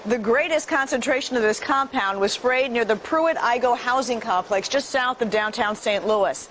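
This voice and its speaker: Female news reporter, fairly high voice, scripted delivery over faint vehicle noise. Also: normal speed, a bit bright. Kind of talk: scripted broadcast news narration.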